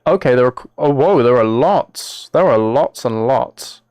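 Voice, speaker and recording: A man's voice: medium pitch, animated, measured pace, a little mellow, no echo, clean studio sound. A man live streaming, talking casually and a little hesitantly to his viewers as he reads chat and reacts.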